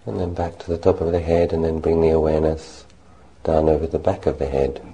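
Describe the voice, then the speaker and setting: A man leading a guided meditation as a monk, speaking gently and unhurriedly in short phrases with long pauses. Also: a bass voice, level tone, low-quality recording.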